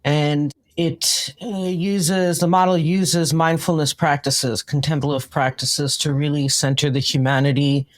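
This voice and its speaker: A woman's voice: low voice, level tone, unhurried, few pauses. A woman with a New York accent, explaining her work as a guest in an interview. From New York, United States. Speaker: podcast guest, consultant and trainer.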